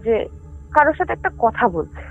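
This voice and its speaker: Lady on a phone line, high voice, telling a spooky story, keeps backtracking, music under it. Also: big pitch swings. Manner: halting, repetitive, self-correcting. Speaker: caller telling a family ghost story. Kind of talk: casual spoken storytelling with frequent check-in questions.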